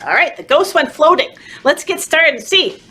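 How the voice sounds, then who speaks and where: A woman leading a children's story time, reading aloud in an animated, encouraging, sing-song way, as if talking to toddlers. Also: high-pitched, moderate pace. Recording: clearly noisy background.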